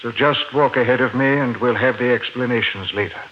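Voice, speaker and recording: An actor playing a formal, insistent former professor turned polite kidnapper, with an American accent in 1950s radio drama style. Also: male voice, medium pitch, a little fast, natural voice. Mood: calm, commanding. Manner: formal, insistent, reassuring while threatening. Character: calm, doom-obsessed former professor, a polite gun-wielding kidnapper. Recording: dull, noisy 1950s radio recording.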